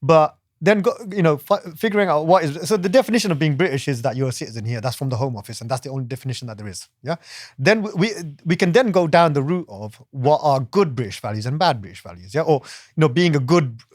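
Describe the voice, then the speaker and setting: A man's voice: on the high side, expressive, fast, no room sound, clean studio sound. A man talking as a guest in a casual discussion, often restarting and tagging his sentences with "yeah" and "right?". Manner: hesitant restarts, frequent "yeah" and "right?" tags.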